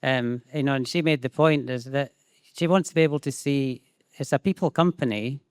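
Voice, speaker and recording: A man's voice: medium pitch, moderate pace, smooth, dry recording, studio quality. A Scottish man speaking on a conference panel about payroll, talking with many fillers and restarts. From Scotland. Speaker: payroll process owner, panelist. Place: conference stage.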